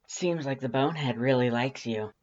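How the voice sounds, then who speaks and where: A woman voicing a brash, excitable female monster best friend in a fan-fiction audiobook, loud, emphatic and teasing. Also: extremely low pitch, moderate pace, muffled recording. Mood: friendly teasing.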